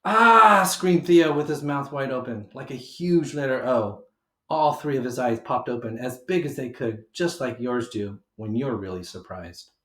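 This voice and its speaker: A man's voice: mid-range voice, moderate pace. Man reading a storybook in a roomy space. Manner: animated, emphatic delivery for young listeners. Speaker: storyteller reading a children's book aloud. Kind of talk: expressive children's read-aloud narration. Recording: roomy homemade recording.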